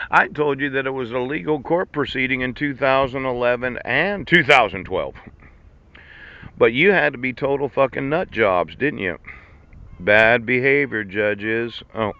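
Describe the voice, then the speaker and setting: A man in his fifties ranting in a sarcastic monologue, laughing between lines and swearing. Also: medium pitch. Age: 50s. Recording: dull lo-fi recording.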